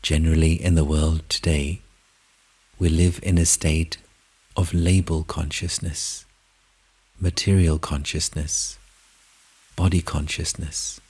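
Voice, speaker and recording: A man with a husky, breathy voice narrates a guided meditation in measured, deliberate short phrases with long pauses. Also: extremely low pitch, little pitch movement, darkish tone.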